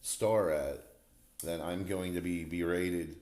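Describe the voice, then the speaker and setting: A man's voice: deep voice, steady tone, slow, darkish tone. A man in his forties hosting a casual podcast chat, talkative and slangy, laughing easily. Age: 40s. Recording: roomy echo.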